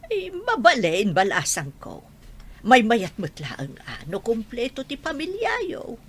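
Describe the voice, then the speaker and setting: A woman playing a kindly old grandmother with a husky voice and an Ilocano accent in a radio drama. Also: on the high side, huge pitch swings, slow, exaggerated voice. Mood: reassuring.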